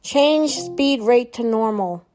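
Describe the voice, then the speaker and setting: A woman walks through a gadget demo at a slow, easy pace. Her voice is mellow and a touch higher than most, and she issues clipped commands between relaxed asides. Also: slight background noise. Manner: short spoken commands, relaxed explaining. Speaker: presenter demonstrating a smart speaker. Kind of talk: casual demo walkthrough.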